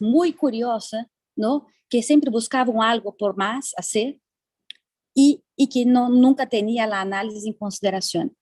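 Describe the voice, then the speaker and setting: A woman's voice: fairly high pitch, mellow, dry recording. Brazilian woman speaking hesitant Spanish. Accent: Brazilian Portuguese. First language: Portuguese. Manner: hesitant, repeating words while searching for Spanish. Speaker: panelist. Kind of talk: panel discussion.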